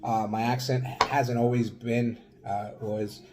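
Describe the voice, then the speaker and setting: A middle-aged Salvadoran man talking casually to his viewers, halting and full of fillers. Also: mid-range voice, little pitch movement, crowd noise. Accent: Mexican-influenced Spanish. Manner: rambling, halting, many fillers. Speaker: vlogger. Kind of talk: casual vlog talk.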